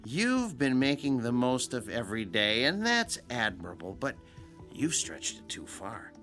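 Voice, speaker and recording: An actor playing a stern, concerned doctor in a radio drama, speaking firmly and emphatically. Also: male voice, mid-range voice, wildly swooping pitch, a little slow, fairly bright tone, faint music behind. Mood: firm, admonishing. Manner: firm, emphatic, admonishing. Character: stern, concerned male doctor lecturing a patient.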